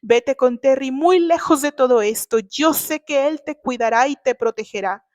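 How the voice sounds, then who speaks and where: A woman's voice: high-pitched, normal speed, darkish tone, no room sound, close to an everyday voice. A woman voicing a stern, protective father who is dying, weakening and urgent, in a dramatic audio novel. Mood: desperate, urgent. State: weakening, struggling for breath.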